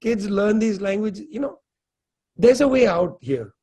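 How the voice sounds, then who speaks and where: A man speaks as an interview guest, his voice sitting notably high for a man. He talks earnestly and conversationally, stacking up rhetorical questions and leaning on "you know" between thoughts. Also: very animated, unhurried, mellow, slightly rough. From India. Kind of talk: conversational political commentary.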